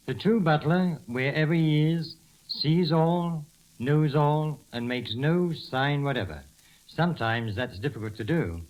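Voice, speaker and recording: A man's voice: mid-range voice, rough recording. A man speaking as a butler guest in a scripted 1930s radio talk, formal and deferential, on an old hissy recording.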